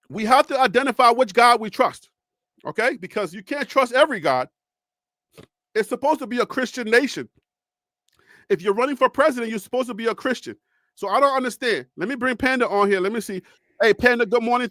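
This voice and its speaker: A man from Liberia, hosting a live call-in show in a preachy, animated way and reading the chat. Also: very high-pitched, dry recording, clean studio sound. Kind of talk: casual livestream talk.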